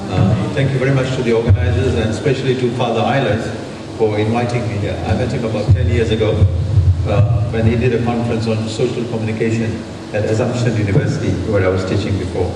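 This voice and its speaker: A man's voice: medium pitch, steady tone, fast talker. A middle-aged man from Tanzania of Indian origin giving a conference speech, with an emphatic manner that repeats phrases for effect. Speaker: university lecturer, conference speaker. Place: large conference hall. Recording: very echoey hall, distant mic, clear noise.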